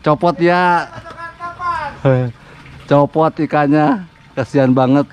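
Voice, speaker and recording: A man in his thirties with a Sundanese accent, giving excited live commentary while fishing outdoors at a pond, often laughing and yelping. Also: fairly high pitch, takes it slowly, clean tone. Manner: excitable, laughing, yelps when fish bite. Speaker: fishing vlogger.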